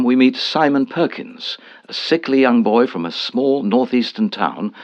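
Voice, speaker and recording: An actor playing a formal, measured television continuity announcer introducing educational programmes in an audio drama. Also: male voice, medium pitch, natural voice. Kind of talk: scripted broadcast continuity announcement. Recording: dull vintage broadcast sound.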